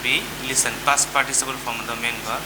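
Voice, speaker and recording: A man's voice: mid-range voice, steady tone. Man teaching, very noisy recording. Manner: deliberate, repeats and spells out words. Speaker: English grammar teacher. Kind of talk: classroom lesson addressed to students. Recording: very noisy, rain-like hiss throughout.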